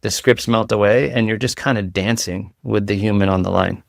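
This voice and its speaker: A man with a light voice, a guest in a casual interview, talking in rapid-fire analogies with frequent restarts. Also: fairly low pitch, steady tone, gravelly, no room sound, clean studio sound.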